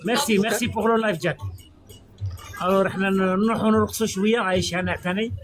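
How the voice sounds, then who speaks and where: A jovial man co-hosting a live stream, chatting rapidly and mixing languages as he signs off. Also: high-pitched, fairly even intonation, slight background noise. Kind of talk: casual live-stream sign-off chat.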